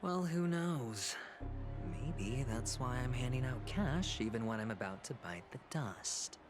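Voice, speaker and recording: An actor voicing a smug, reckless young gambler in a video game, sly and teasing, often hushed. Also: male voice, medium pitch, animated, a little slow, lightly acted. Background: game music.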